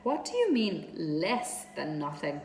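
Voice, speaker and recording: A woman's voice: low voice, sing-song, slow pace, darkish tone, exaggerated voice. A woman voicing a lonely, pleading young male pig for a children's storybook reading. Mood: indignant. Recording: echoey room with clear background noise.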